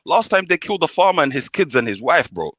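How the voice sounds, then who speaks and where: A man holds forth on a live panel, his voice pitched a little high. He talks fast and heated in street slang and hammers each point by repeating it. The sound is close and dry. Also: very animated, fairly bright tone, no echo. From northern Somalia. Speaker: podcast host. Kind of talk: casual panel debate.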